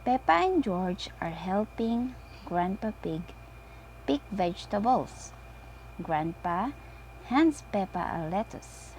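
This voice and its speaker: A woman reading a bedtime story aloud to children in an expressive manner. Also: medium pitch, slow pace, slight background noise, low-quality recording. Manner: expressive, with exaggerated character voices. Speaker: storybook reader.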